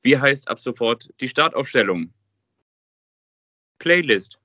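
A man chats casually as co-host of a football podcast. His mid-range voice is bright and crisp-edged. The recording is very dry and a little dull. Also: mid-range voice, animated, takes it slowly, no room sound. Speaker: podcast co-host and quiz contestant.

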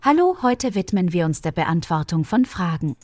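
Brief: woman lesson co-presenter, measured scripted delivery, lively pitch, dry close sound. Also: no echo. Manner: measured, instructive.

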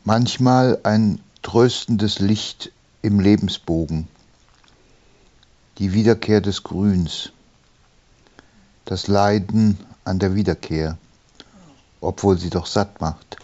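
Male poet reading own verse: deep, unhurried, measured phrasing, slightly dull sound. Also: darkish tone. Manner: measured, pausing between short phrases. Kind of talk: poetry reading of own long poem. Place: small room, writing study.

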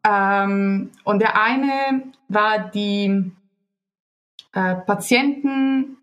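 Podcast guest: woman, unhurried and hesitant, mid-range voice. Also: mid-range voice, a bit bright, highs cut off. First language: Italian. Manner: hesitant, searching for words with many fillers. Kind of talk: casual conversation.

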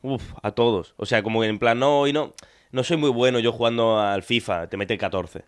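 A young man from Argentina co-hosting a podcast, chatting casually in a rambling, joking way, with quick asides and laughs. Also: medium pitch. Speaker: podcast co-host. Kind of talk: casual banter.

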